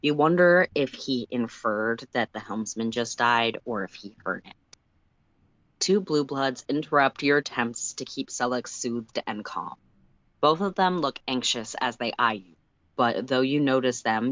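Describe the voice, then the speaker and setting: Livestream co-host narrating prose fiction, medium pitch, dry intimate recording. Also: normal speed, fairly bright tone, dry recording, poor audio. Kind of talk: read-aloud narration of fan fiction in second person.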